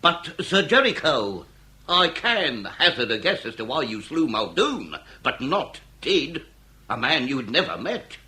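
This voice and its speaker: A man's voice: medium pitch, very animated, measured pace, bright, forceful tone, slightly rough, exaggerated voice. A man acting a shrewd, relentless Victorian police inspector in a period radio drama, with formal, declamatory delivery. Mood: triumphant, pointed. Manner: formal, declamatory, theatrical interrogating. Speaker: actor.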